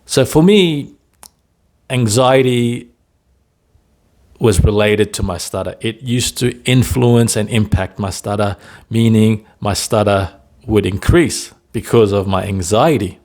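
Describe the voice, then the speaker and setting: A man speaking slowly and deliberately in a lowish voice, leaving long pauses between phrases. He coaches people who stutter and talks straight to the viewer. He often checks in with a quick "right?" as he goes. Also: darkish tone. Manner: slow, deliberate delivery with frequent pauses and "right?" tags. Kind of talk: motivational talk to camera.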